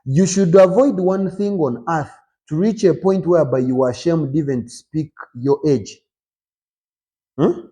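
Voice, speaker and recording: Emphatic motivational man, mellow voice. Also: on the high side, measured pace. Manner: rhetorical questions with frequent "hmm?"; emphatic, rises sharply on key points. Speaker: show host. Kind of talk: motivational talk to viewers.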